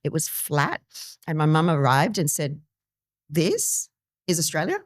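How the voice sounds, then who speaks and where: A woman in her early fifties, born in Timor-Leste, speaks in a low, grounded voice. She recounts her family's past earnestly and personally, with frequent ums and restarts. The recording is close and dry. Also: very animated, measured pace, dry recording. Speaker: podcast guest. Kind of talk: conversational interview storytelling.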